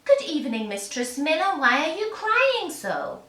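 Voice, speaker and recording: Woman voicing a chirpy high-pitched little magical mannikin. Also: sing-song, unhurried, fairly bright tone, exaggerated voice. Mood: eager, bargaining. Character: small sprightly magical male mannikin, bargaining. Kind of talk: storytelling for a children's puppet show. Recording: roomy, distant mic, faint noise.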